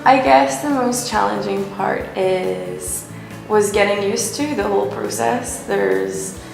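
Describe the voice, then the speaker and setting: A woman's voice: medium pitch, slow, gravelly. A woman from Northern Ireland with a Northern Irish accent, an English teacher giving an interview testimonial. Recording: very echoey room, music over it. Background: music.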